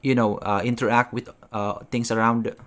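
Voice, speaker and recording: A young man giving casual reaction commentary as a video host, haltingly, often repeating his phrases. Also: mid-range voice, flat delivery. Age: young adult.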